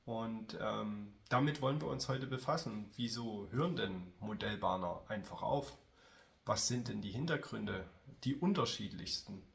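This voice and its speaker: A man with a cold, addressing his viewers in a calm, chatty way as he narrates a hobby video. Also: fairly low pitch, a little slow, vocal fry. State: has a cold. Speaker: model railway YouTuber. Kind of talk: casual spoken video narration.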